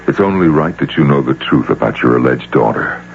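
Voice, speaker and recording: A low-voiced American gentleman in an old radio drama, earnest and concerned. His pitch moves expressively as he reasons cautiously. The broadcast is dull, with a faint hum and hiss. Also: lively intonation, fairly quick. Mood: cautious reasoning. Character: wealthy, worried, reasonable male confidant. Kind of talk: 1980 radio drama acting.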